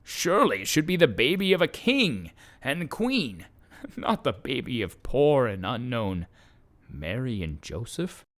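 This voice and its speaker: A man voicing an animated, bemused storytelling donkey. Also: medium pitch, a little slow. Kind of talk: children's audio drama narration.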